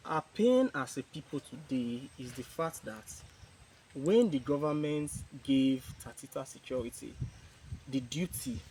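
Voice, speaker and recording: A man with a Nigerian accent making an earnest, measured public appeal as chairman of a youth group. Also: medium pitch, a little mellow, a little hiss or hum, poor audio. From Niger Delta, Nigeria. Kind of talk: press statement, public appeal.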